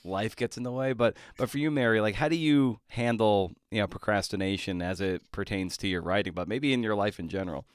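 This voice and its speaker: Male interviewer, casual and halting. Clean, resonant voice, dry recording. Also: fairly low pitch, smooth, no echo. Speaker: podcast host, interviewer.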